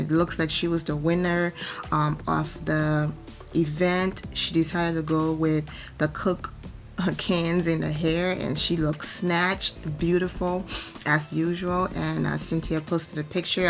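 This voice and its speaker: A hoarse woman, nearly losing her voice, chatting through celebrity gossip as a vlogger in a rambling, filler-heavy way. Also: sits low. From Atlanta, Georgia. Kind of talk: casual gossip commentary.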